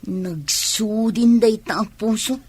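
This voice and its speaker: An actress as a coy young Ilocano woman in a radio play. Her voice sits fairly high, with a breathy rasp to it. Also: takes it slowly, darkish tone. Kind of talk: radio drama acting.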